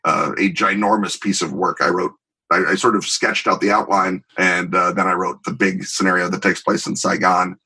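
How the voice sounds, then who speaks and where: Husky, bright-voiced man explaining emphatically. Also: low-pitched, flat delivery, moderate pace. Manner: emphatic, wry, digressive with vivid examples. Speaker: interview guest, tabletop game designer. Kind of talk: conversational podcast interview, explaining.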